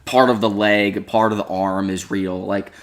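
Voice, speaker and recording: A man's voice: fairly low pitch, level tone, moderate pace. A man hosting a casual chat, rambling with many fillers and restarts. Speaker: host.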